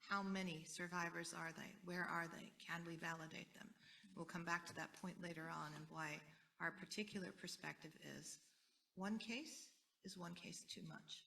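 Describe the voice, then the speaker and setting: An American woman speaking as a panelist at a conference, earnest and deliberate, repeating key phrases for weight. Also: on the low side, steady tone, vocal fry, pushed and bright, far from the mic. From United States. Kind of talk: panel talk.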